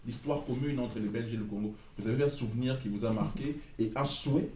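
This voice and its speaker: A man hosting and moderating a panel debate, brisk and informal as he steers the guests. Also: mid-range voice, dark tone, slight background noise. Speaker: host and moderator. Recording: echoey room.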